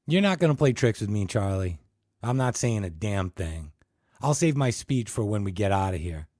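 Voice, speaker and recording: A man voicing a panicky, furious teenage boy who shouts and swears, his voice pushed high. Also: a little fast, no room sound, studio quality. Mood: defiant.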